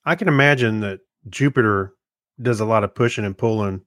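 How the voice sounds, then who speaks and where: A man's voice: fairly low pitch, fairly quick, a bit bright, no room sound, clean studio sound. A man co-hosting a show in casual chat, chiming in with a laid-back manner. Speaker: podcast co-host.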